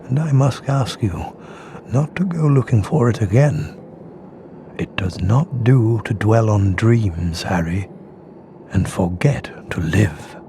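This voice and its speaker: A man voicing a wise, gentle old wizard headmaster in a video game, with a measured, grave, kindly manner. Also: fairly low pitch, lively intonation, slight background noise.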